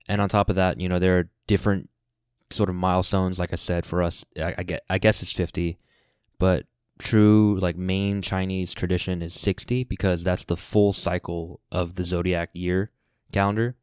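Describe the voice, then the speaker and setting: Low, flat-voiced man in his twenties. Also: normal speed, clear, resonant tone, dry recording, studio quality. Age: 20s. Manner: rambling, many fillers and restarts, laid-back. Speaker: podcast host. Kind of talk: casual solo podcast monologue.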